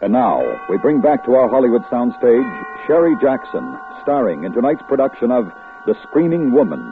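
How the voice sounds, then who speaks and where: A man's voice: medium pitch, very animated, moderate pace. A man announcing a 1950s radio suspense program in a formal, emphatic style. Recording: tinny old radio broadcast with music.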